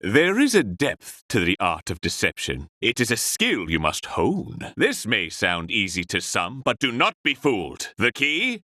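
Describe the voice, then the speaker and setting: Smug, theatrical swindler, hugely animated. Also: male voice, fairly low pitch, unhurried, few pauses, dry recording, clean studio sound, exaggerated voice. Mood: smooth, instructive. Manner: grandiose, florid, showman-like patter. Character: smug, theatrical swindler teaching a seminar in deception. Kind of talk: video game character acting.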